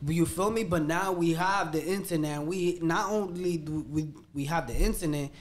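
A man's voice: on the high side, moderate pace. A man in a casual group podcast, talking emphatically in heavy slang and preaching about money and power. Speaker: podcast guest co-host.

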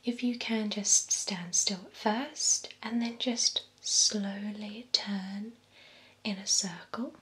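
Woman, 20s, ASMR whisperer as a courteous vampire attendant; unhurried, bright and breath-led, close-miked. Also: mid-range voice, steady tone. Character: gentle, courteous female vampire salon attendant. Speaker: ASMR performer playing a vampire spray-tan attendant. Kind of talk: ASMR role-play, soft whispering. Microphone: close binaural microphone. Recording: close binaural whisper with faint hiss.

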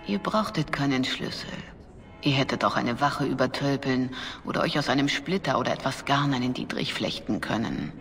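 Game role: a calm, dignified revered mother, middle-aged, measured and formal, regretful undertone, music underneath. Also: a bass voice. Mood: calm, instructive. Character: composed, remorseful older Orlesian revered mother, a priestess. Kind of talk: dramatic video game voice acting.

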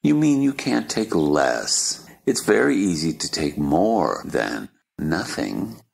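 Rude, curt eccentric man for a storybook play, male actor: rumbling bass, crackly, animated. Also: slow, creaky, full character voice. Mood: needling. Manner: curt, needling. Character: rude, contrary tea-party guest, adult man. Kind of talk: stage play reading.

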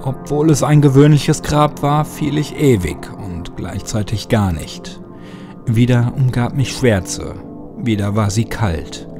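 A man's voice: mid-range voice, slow, music in the background. A man reading a scripted horror story as an audiobook narrator.

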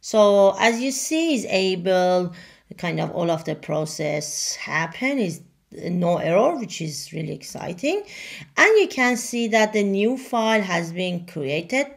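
A woman whose first language is Farsi, talking through a software demo in halting English with many fillers. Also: medium pitch, lively intonation, gravelly. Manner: halting, many fillers and restarts, non-native grammar. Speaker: software tutorial presenter. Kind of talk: screen-recorded software walkthrough.

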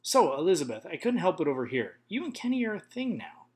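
A humble, polite innkeeper woman, eager to please and a little fussy, her voice pitched well up as a man puts her on. She is a storybook hostess who bows to royalty. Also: sing-song, fast talker, darkish tone.

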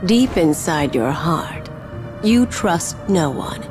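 A woman's voice: lowish voice, lively intonation, slow, over music. A woman playing a menacing, cold, taunting sorceress in a video game, speaking in an imperious, theatrical manner. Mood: mocking, imperious.

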